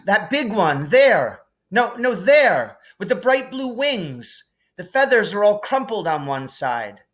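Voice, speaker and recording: A man's voice: sits high, sing-song, takes it slowly, a bit bright, voice pushed up, heavily acted. A man voicing an eager, urgent boy hero who calls out short orders in a storybook reading. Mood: urgent, calling out.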